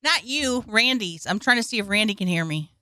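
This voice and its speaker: A woman's voice: mid-range voice, expressive, brisk, pushed and bright, no room sound, studio quality. A woman comic chatting as a guest, giggly and playful. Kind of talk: casual chat.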